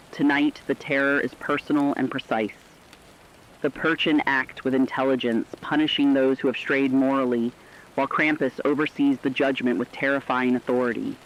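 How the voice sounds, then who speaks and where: A man playing a stern radio reporter, reading scripted horror news with a serious, deliberate, foreboding delivery. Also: mid-range voice, highs cut off, close to an everyday voice. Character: stern radio reporter warning listeners of doom.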